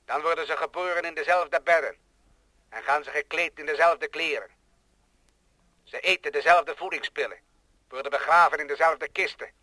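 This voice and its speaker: An actor playing a cold, boastful thinking machine that scorns humans, in solemn declamatory radio play style. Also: male voice, fairly high pitch, sharp tone, no room sound, poor audio. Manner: solemn, pompous, rhetorical.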